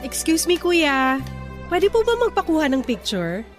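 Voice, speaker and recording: Cheerful chatty filipino office girl, really high voice, radio drama w/ light music behind. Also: very animated, a little slow. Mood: excited, friendly. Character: cheerful sociable office woman meeting high school sweetheart.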